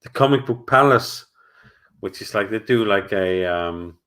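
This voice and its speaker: A middle-aged man with a fairly low voice, chatting casually from home during a live stream. He rambles, hesitates, and restarts his sentences often, filling gaps with "uh" and "um" as he answers viewers. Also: measured pace, a bit bright. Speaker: channel host answering live chat.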